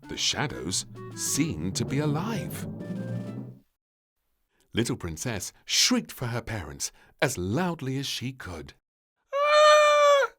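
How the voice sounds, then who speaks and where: A male storybook narrator reading for children. He takes his time, telling the story dramatically with huge swings of pitch. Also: very animated, takes it slowly, pauses often, fairly bright tone, faint music behind.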